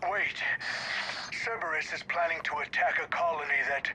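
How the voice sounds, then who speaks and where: An actor playing an anxious but earnest informant on the run, speaking urgently in a video game. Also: male voice, a little high, moderate pace, bright, forceful tone, slight background noise, low-quality recording. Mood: strained, pleading. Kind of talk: dramatic game voice acting.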